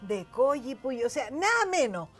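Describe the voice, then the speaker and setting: High-pitched Chilean woman hosting a chat show. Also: very animated, unhurried, breathy edge, faint music behind. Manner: effusive, exclaiming. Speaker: television host. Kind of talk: chatty talk show interviewing. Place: small television studio.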